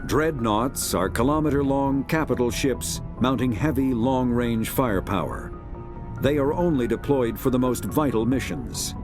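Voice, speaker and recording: A man narrating a science fiction game's encyclopedia in a measured, formal, authoritative documentary style. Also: mid-range voice, natural voice. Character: formal male narrator of a sci-fi codex. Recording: music behind the voice. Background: clear background music.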